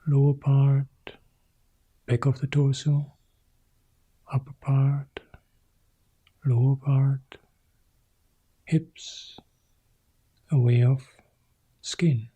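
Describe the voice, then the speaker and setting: Soft, dark-voiced man guiding meditation very slowly. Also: mid-range voice. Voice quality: soft, husky, breathy. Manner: calm, sparse short phrases with long pauses. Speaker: meditation teacher guiding a practice. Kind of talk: guided meditation.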